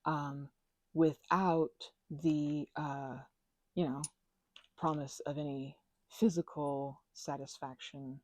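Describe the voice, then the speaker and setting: A woman chatting gently in a meandering ASMR ramble, full of fillers and restarts, speaking affectionately to her listeners. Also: low-pitched, flat delivery, slow. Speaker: ASMR creator. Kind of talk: soft-spoken ASMR ramble while crafting.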